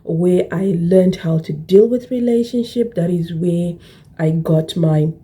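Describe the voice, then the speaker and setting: Slow, very mellow Zimbabwean-British woman. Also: on the low side, husky. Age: early 40s. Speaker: video blogger. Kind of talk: personal reflective talk to camera.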